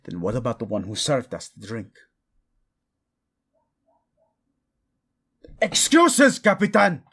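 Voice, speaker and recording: A man's voice: mid-range voice, wildly swooping pitch, normal speed, fairly bright tone, breathy edge, full character voice. A man acting a passionate, protective male royal in a romantic audio drama, devoted to his queen, intimate and commanding, using Spanish endearments. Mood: furious, commanding. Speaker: voice actor. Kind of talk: romantic audio roleplay drama addressed to the listener.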